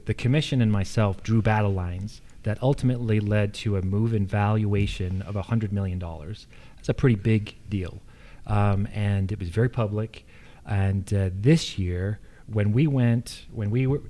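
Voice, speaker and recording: Low, very mellow American man. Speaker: political candidate, incumbent county official. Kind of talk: unscripted forum answers.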